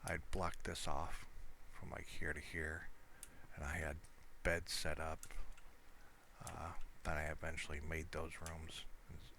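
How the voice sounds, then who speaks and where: A man giving casual, rambling, low-key commentary on his own video game play. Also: monotone, measured pace, vocal fry, bright, forceful tone, slight background noise. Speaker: gamer narrating own gameplay.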